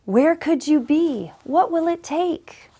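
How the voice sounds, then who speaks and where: A woman reading a children's picture book aloud in a deliberate, measured way with dramatic pauses. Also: high voice, lively intonation, dark tone. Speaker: story reader, school superintendent.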